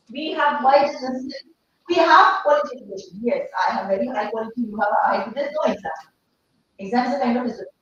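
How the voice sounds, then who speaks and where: A middle-aged woman with an Indian English accent and a hoarse voice, giving an animated conference talk and questioning the teachers in the audience. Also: on the high side, a little hiss or hum. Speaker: speaker addressing teachers. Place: large echoey hall. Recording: echoey hall from far off, dull.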